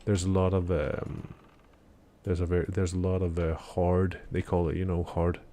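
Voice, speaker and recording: A man's voice: deep voice, flat delivery, measured pace, mellow, a little hiss or hum. A man live-streaming a game in the morning, chatting casually with viewers in a rambling, hesitant way full of fillers and restarts, still a bit sleepy. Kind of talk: casual live-stream chat while gaming.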